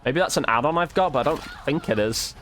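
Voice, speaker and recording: A man's voice: fairly high pitch, animated, fast, fairly bright tone, slight background noise. A man commentating casually over gameplay as a content creator, chatting informally and thinking aloud.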